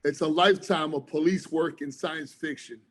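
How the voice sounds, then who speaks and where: A man's voice: on the high side, fairly even intonation, moderate pace, fairly bright tone. A man, a former police officer turned author, talking earnestly and rambling a little as a podcast guest. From New Jersey, United States. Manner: earnest, rambling, frequent fillers. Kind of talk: casual interview chat.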